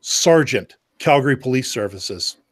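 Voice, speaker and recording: A man's voice: mid-range voice, expressive, a little slow, dry recording. A man co-hosting a casual round-table podcast, telling anecdotes and acting out the people in them, with a lot of swearing. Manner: storytelling, acts out quoted exchanges, swears freely. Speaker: podcast co-host.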